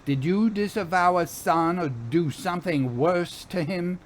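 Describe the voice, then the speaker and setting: A man's voice: sits high, a little slow, pitched above the performer's own voice. A man voicing a commanding, urgent woman ghost investigator in a story, speaking in an insistent, formal way.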